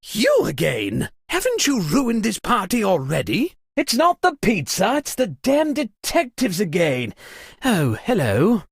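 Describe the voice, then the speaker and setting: An actor playing a flustered, theatrical host of a murder-mystery party in a video game, exclaiming with exasperated high-society affectation. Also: huge pitch swings, measured pace, gravelly, breathy edge, dry recording, clean studio sound, heavily acted. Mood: exasperated, indignant. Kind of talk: scripted video game character lines.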